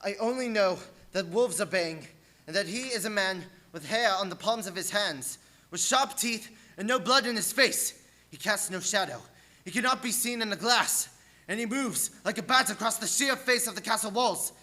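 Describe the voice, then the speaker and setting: High-voiced, anxious English gentleman captive played by a man. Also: moderate pace. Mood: fearful, desperate. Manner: earnest, suspenseful, theatrical. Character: Jonathan Harker, anxious English gentleman, dread-filled captive diarist. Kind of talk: dramatic radio play narration. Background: music.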